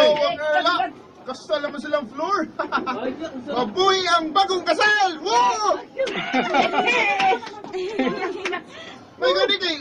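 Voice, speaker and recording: An actor playing a rowdy, teasing teenage classmate in a radio drama, with a boisterous manner and a Cebuano accent. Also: male voice, very high-pitched, very noisy, exaggerated voice. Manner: boisterous, laughing. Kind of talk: Bisaya radio drama acting.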